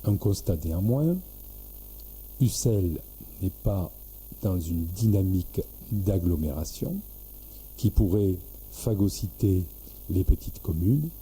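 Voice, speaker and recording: A man giving a formal, prepared explanation in a measured, halting way, in short administrative phrases. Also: low-pitched, animated, mellow, slightly rough, low-quality recording. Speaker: local government official.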